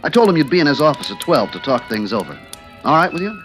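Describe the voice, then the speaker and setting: A man's voice: a little high, very animated, quick pace, a bit bright. An actor playing a married woman's secret lover, an oily, two-faced agent with a furtive, casual manner, in an old-time radio suspense drama. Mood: falsely casual. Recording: vintage radio broadcast recording with music.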